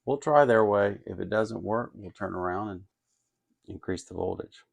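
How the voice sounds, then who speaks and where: A man with a fairly low voice and a strongly creaky, gravelly edge talks through a hands-on task. His delivery is casual and unscripted, as if thinking aloud. He muses and hesitates as he goes. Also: moderate pace. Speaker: host of an electronics kit-building channel. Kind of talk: casual, unscripted workbench narration.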